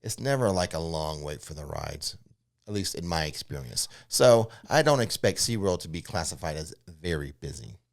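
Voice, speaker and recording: A man's voice: low-pitched, expressive, normal speed. A man co-hosting a casual, unscripted talk, explaining things informally and matter-of-factly with some hedging, as he answers viewer questions. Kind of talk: casual unscripted theme park news chat. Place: studio.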